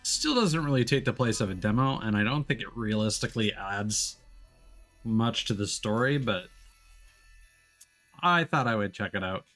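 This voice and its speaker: A man's voice: on the low side, expressive, moderate pace, pauses often, a bit bright. Wry male streamer over background music. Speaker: video game live streamer. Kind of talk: casual live stream commentary, chatting to viewers.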